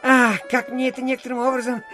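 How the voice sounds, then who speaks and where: A man's voice: extremely high pitch, completely flat delivery, brisk, sharp tone, soft background music. An actor playing a garrulous, self-important provincial official who rambles through his stories with filler phrases, in an audio drama. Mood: flustered, excited. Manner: digressive, full of filler phrases. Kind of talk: theatrical audiobook radio play.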